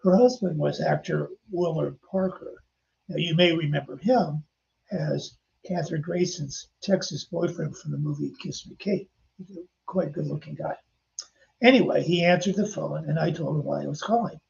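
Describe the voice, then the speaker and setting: A man's voice: a little high, normal speed, gravelly, a little mellow, muffled recording. An older man hosting a podcast, telling chatty anecdotes and speaking directly to his listeners. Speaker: podcast host and author. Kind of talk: casual storytelling narration.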